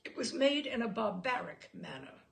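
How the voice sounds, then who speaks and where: A woman's voice: fairly high pitch, very animated, normal speed, creaky. A woman voicing a blustering, exasperated husband who lectures pompously in a story reading. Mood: stern. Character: blustering, exasperated husband, stern household disciplinarian.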